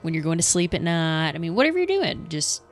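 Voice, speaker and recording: A woman's voice: sits low, very animated, fast talker, slight background noise. A woman co-hosting a podcast, chatting casually with breezy, agreeable remarks. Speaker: podcast co-host. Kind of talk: casual chat.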